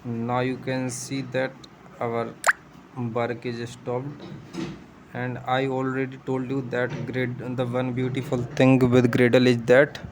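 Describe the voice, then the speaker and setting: A man's voice: mid-range voice, monotone, takes it slowly, background noise. A man who is not a native English speaker, patiently explaining a coding tutorial step by step and thinking aloud as he goes. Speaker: programming tutor. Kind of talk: screen-share coding tutorial.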